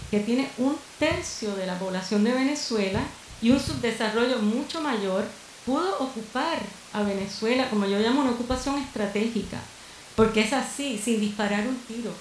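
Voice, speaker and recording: A woman from Cuba speaking earnestly at a seminar panel, pausing with fillers and restarting her sentences as she searches for words. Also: fairly high pitch. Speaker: panelist, director of an archive. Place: seminar hall. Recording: echoey hall with faint hiss.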